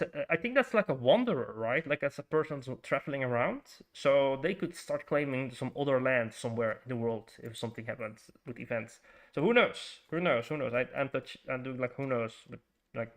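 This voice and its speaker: Male streamer, Frisian Dutch accent, laid-back game commentary, chatty with viewers, lots of restarts. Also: medium pitch. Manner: chatty, thinks aloud, greets chat, many fillers and restarts. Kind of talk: casual livestream commentary while playing.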